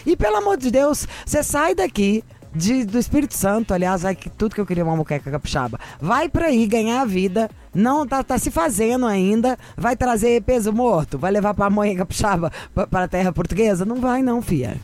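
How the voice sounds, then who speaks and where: A woman hosting a radio advice show, with sassy, gossipy, animated chat. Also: medium pitch, brisk, few pauses. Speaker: radio host.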